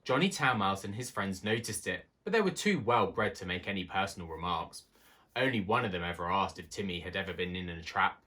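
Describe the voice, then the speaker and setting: Animated man reading a children's story. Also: on the low side. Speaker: story reader. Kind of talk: expressive children's storybook narration.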